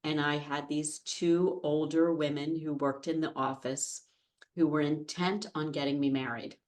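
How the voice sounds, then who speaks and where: Woman, fifties, American accent, low voice, chatty, self-deprecating, casual podcast guest. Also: fairly even intonation, a little slow, a little mellow. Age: 50s. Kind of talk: casual anecdotal interview conversation. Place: podcast studio.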